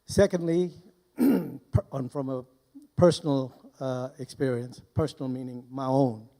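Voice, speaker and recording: An older man from Burma speaks into a close handheld mic in a mellow, dark-toned voice at medium pitch. He gives personal testimony to an audience informally, pausing often and moving in short, deliberate phrases. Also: fairly even intonation, vocal fry. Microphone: handheld microphone held close.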